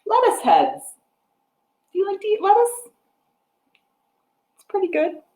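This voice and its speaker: A middle-aged American woman speaks to young children in a storytime style, her pitch moving in lively swoops. She explains things simply and puts questions to the listener. The sound is roomy, picked up by a device microphone indoors. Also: a little slow. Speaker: children's librarian hosting storytime. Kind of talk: picture-book read-aloud and craft talk to young children. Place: room at home with wooden floor and piano. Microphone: device microphone at about arm's length.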